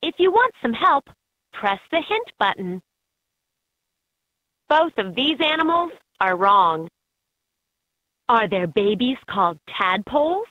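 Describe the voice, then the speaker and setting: A woman's voice: fairly high pitch, sing-song, slow, pauses often, dry recording, exaggerated voice. A woman voicing an upbeat guide in a children's educational animal game, in scripted narration with slightly dull game audio.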